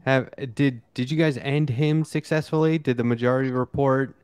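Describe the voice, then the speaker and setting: A man hosting a casual political podcast, talking in a halting, conversational way as he interviews his guests. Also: medium pitch, fairly even intonation, a little mellow, clean tone, no echo.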